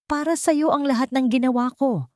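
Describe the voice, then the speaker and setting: A woman's voice: high voice, moderate pace, a little mellow, dry recording, clean studio sound, voice pushed up, natural voice. A woman voicing a proud, controlling wealthy mother who protests defensively in an audio love story. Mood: pleading, defensive. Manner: imperious, protesting.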